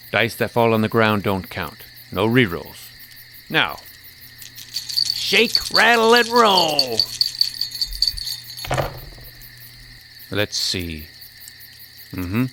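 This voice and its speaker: A man's voice: medium pitch, big pitch swings, takes it slowly, pauses often, sharp tone, slight background noise, exaggerated voice. A man playing a sly, greedy trickster jester in a fantasy audio story, with a showy, teasing way of savouring his words. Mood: challenging, playful.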